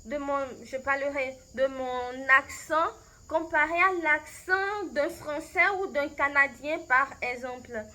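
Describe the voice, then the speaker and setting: Beninese French woman, language coach type; high, bright voice, slow and reflective, lots of hesitations and restarts. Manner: thoughtful, unhurried, with frequent hesitations and restarts. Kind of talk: answering interview questions to camera.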